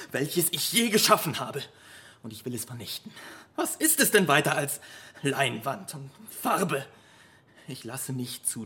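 A man's voice: on the high side, pushed and bright, heavily acted. An actor playing an earnest, easily hurt painter in a German radio play, speaking emphatically to his friends.

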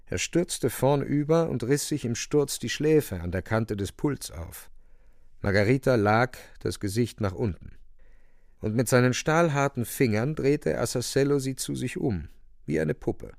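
A man's voice: mid-range voice, expressive, measured pace, creaky, a little mellow, no room sound, clean studio sound. A man giving solemn, theatrical literary narration for a radio play.

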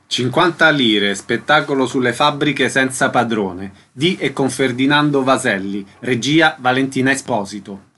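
A man's voice: medium pitch, a little slow, bright, forceful tone, slightly rough. A man reading a scripted introduction as a radio announcer.

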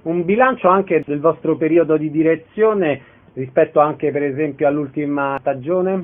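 Male radio host, slightly high-pitched, interviewer delivery, narrow phone-like band. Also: moderate pace.

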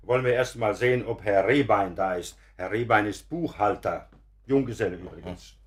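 An actor playing a genial professor in a German radio play, courtly and effusive, smoothing things over. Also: male voice, on the low side, normal speed, a little hiss or hum, natural voice. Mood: courteous. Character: genial, diplomatic professor showing a newcomer round.

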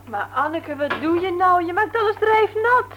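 An actress playing a brisk, chiding wife and mother in a Dutch radio play. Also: extremely high pitch, expressive, a bit bright. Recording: faint hum, old radio play.

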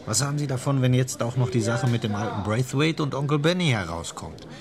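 A man's voice: medium pitch, animated, fairly quick, a crowd in the background, close to an everyday voice. An actor playing a slick, coercive British intelligence agent in a radio crime drama, pressing and insinuating as he talks. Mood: menacing.

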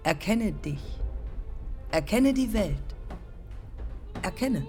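An actress playing a proud, embittered woman aviator looking back in terse, deliberate fragments, in a German radio play. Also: mid-range voice, expressive, dark tone, faint music behind. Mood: exalted, solemn. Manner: terse, deliberate, pausing between short phrases.

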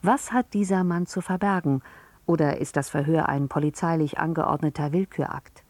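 A woman with a slightly low voice reads scripted radio narration. The sound is close and dry, with no room around her. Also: moderate pace, a little mellow, no echo. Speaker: narrator introducing a radio play.

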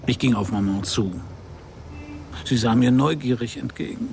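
An actor playing a wry, unruffled private detective in a radio crime drama, narrating and talking in a dry, polite way. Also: male voice, medium pitch, normal speed, vocal fry, a little mellow, a little hiss or hum, natural voice. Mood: wry, observant.

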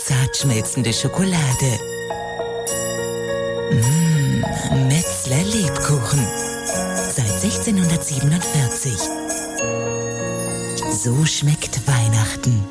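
A very low female voice selling Christmas treats in a radio advert. She is sultry and indulgent, savouring each word slowly. Loud jingly music plays behind her. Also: expressive, full character voice. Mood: indulgent, seductive. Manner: lingering, indulgent delivery. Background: loud jingle music with bells.

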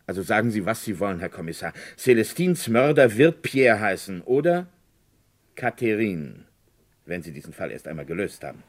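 Low-voiced, formal village lawyer. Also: male voice, sing-song. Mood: defensive, firm. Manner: formal, deliberate, hesitating. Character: male village lawyer, measured, discreetly insinuating. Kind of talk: German radio crime drama acting.

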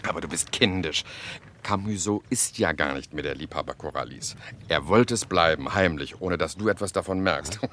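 A worldly, cynical man of the Paris press and gaming tables. His voice sits low and his delivery is mocking and sardonic, in radio play style. There is slight noise in the recording. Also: fairly bright tone. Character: cynical, worldly male journalist and gambler, Parisian. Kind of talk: German radio play acting. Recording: noisy radio drama recording.

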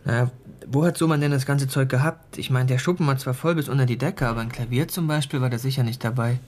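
An actor playing an inquisitive, eager police investigator in a radio crime drama, conversational and questioning. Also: male voice, mid-range voice, fairly even intonation, fast, a little mellow, close to an everyday voice.

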